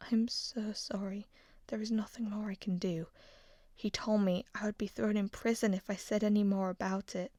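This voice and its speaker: A woman's voice: medium pitch, little pitch movement, fairly quick, hardly any pauses, warm tone, no room sound, studio quality, lightly acted. A woman reading the part of a frightened, apologetic countess who whispers her words. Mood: sorrowful. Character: frightened, apologetic middle-aged countess mother.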